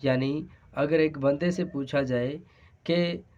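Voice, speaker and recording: Slow, halting Pakistani man lecturing. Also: on the high side, fairly even intonation, darkish tone. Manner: halting, hesitant, repeats phrases. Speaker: law lecturer. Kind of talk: explanatory law lesson. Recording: dull, lo-fi sound.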